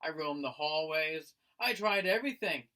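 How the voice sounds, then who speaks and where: A man reading a children's picture book aloud like a teacher, in an expressive, dramatic manner. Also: a little high, moderate pace. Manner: dramatic, acting out the lines.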